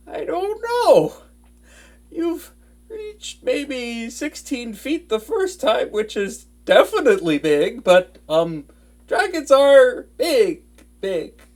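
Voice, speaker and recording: A woman playing a nervous, smitten young wizard in an intimate roleplay audio drama, with a stammering, excitable and bookish way of talking. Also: mid-range voice. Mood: hesitant, awed. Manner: flustered stammering, hesitant, breathless enthusiasm.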